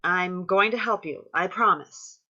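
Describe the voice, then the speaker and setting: A woman's voice: fairly low pitch, a little slow, bright, forceful tone, muffled recording, exaggerated voice. A woman playing an earnest, placating activist group leader in a play reading, hesitant and often trailing off. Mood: anxious, reassuring.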